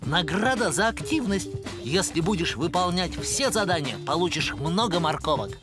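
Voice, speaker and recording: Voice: mid-range voice, big pitch swings, moderate pace, music in the background, close to an everyday voice. An actor voicing a prudent, fussy hedgehog in an educational cartoon, earnest and precise. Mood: encouraging.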